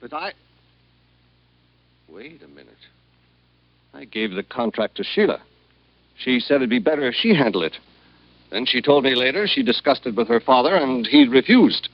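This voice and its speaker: Blunt radio-drama husband, lively pitch. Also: male voice, normal speed, pauses often, no room sound. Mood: puzzled. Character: blunt, aggrieved husband and son-in-law. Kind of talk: old-time radio drama acting. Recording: dull, dry vintage radio recording.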